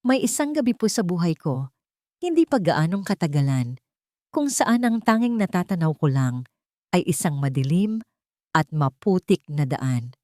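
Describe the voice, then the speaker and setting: A woman's voice: fairly low pitch, sing-song, normal speed, mellow, no room sound, studio quality. A woman storyteller voicing a humble, sincere young mother who speaks in a respectful, heartfelt manner. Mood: sincere, moved.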